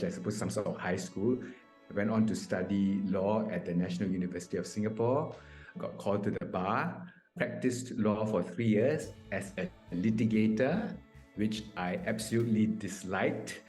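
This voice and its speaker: A man's voice: on the low side, moderate pace, a little mellow, faint music behind. A man in his fifties from Malaysia answering interview questions conversationally, explaining things with asides and self-corrections. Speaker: interview guest, mediator. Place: small indoor room. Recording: echoey room on video call.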